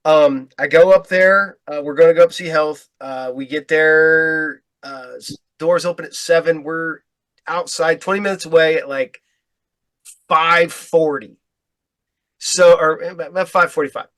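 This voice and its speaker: A man's voice: on the high side, steady tone, unhurried, fairly bright tone, no echo. A man in his forties hosting a casual podcast chat, telling a long rambling story with frequent restarts. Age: 40s. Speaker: podcast host.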